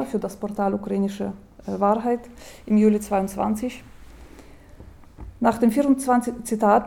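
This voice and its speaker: A woman giving a lecture, speaking in a measured way, with hesitations and restarts, and reading quotations aloud. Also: mid-range voice, dark tone.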